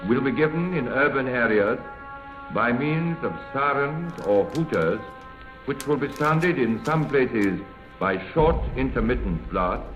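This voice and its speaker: A man with a British accent reading a formal, official public announcement in 1940s wartime style. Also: mid-range voice. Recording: echoing, thin phone-like old radio broadcast.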